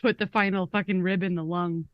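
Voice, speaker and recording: A woman's voice: lowish voice, steady tone, brisk, dry recording, highs cut off. A woman playing a tabletop roleplaying game, bantering casually and slipping in and out of character.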